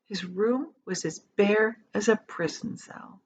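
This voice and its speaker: A woman reading a children's storybook aloud as a teacher would, with dramatic emphasis and drawn-out key words. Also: mid-range voice, a little hiss or hum.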